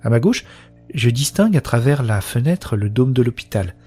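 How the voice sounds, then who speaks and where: Guy playing an awestruck woman telling a sci-fi audio drama, bit raspy, earnest, soft music behind. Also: mid-range voice, animated, moderate pace. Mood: uneasy, weak. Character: wonderstruck woman newly linked to a shared consciousness. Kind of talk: first-person science-fiction audio drama narration.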